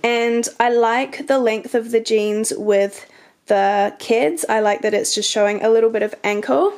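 A woman in her twenties with a New Zealand accent, chatting casually in an outfit vlog, her voice hoarse and congested from a cold. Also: fairly high pitch. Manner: chatty, relaxed, upbeat. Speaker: fashion vlogger and teacher. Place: bedroom with a mirror, indoors. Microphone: camera's built-in mic, filmed in the mirror.

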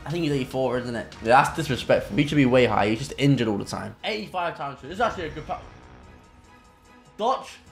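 A young man giving excitable commentary on his own football video game play. He mutters between moves and bursts into loud shouts at goals. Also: medium pitch, quick pace, vocal fry. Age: young adult. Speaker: gaming content creator. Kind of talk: live gameplay commentary. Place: home gaming room indoors. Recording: roomy echo, faint game music behind.

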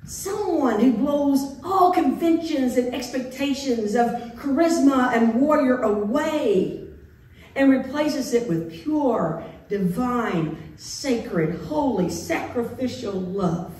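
A middle-aged woman pastor preaching a sermon in a church sanctuary, playful and animated, acting out the voices of biblical figures. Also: a little high, a little hiss or hum. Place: church sanctuary with organ pipes. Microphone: far camera in the pews. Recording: echoey church from a distance.

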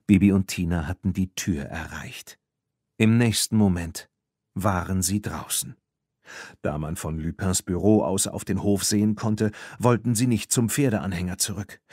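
A man's voice: sits low, normal speed, a little mellow, husky, no room sound, clean studio sound. A man reading a scripted children's story as an audiobook narrator.

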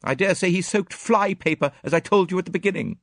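A man's voice: on the high side, huge pitch swings, a little slow, husky, no room sound, clean studio sound, voice pushed up, full character voice. A man voicing a blunt, vehement Englishwoman with an emphatic, exclamatory manner in a storybook reading. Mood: indignant. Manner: emphatic, exclamatory, sharp-tongued. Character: blunt, vehement, agitated Englishwoman, fiercely indignant. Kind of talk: audiobook character dialogue.

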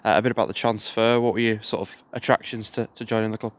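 A man's voice: lowish voice, little pitch movement, fast. A man asking hesitant, filler-heavy interview questions outdoors in a stadium stand into a handheld mic. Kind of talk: club media interview.

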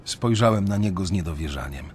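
A conscience-stricken young banker in a radio drama. He has a fairly low voice and tells his own story in a measured, brooding way. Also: expressive, slight background noise. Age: young adult. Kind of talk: first-person radio drama narration.

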